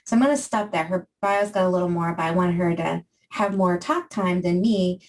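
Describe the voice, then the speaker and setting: A woman's voice: fairly low pitch, normal speed, slight background noise. Woman host, slightly distant mic. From Maine. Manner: warm hesitant questions with fillers. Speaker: podcast host. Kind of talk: interview podcast.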